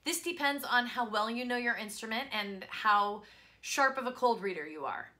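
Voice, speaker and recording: A woman in her thirties giving scripted instructional advice to camera as a voice-over coach, in an expressive presenter's delivery. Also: fairly high pitch, moderate pace. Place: small home studio, indoors.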